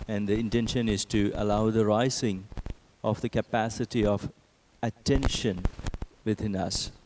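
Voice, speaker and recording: A man teaching a guided meditation, speaking in a gentle, measured manner with long pauses between phrases. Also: on the low side, steady tone, a little hiss or hum.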